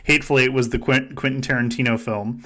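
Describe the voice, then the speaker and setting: A man with a medium-pitched voice hosts a casual game podcast. He talks in a joking, sweary way and laughs often as he chats with his friends. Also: steady tone, fast talker, bright, forceful tone. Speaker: podcast host. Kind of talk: casual chat running a simulated reality-show game.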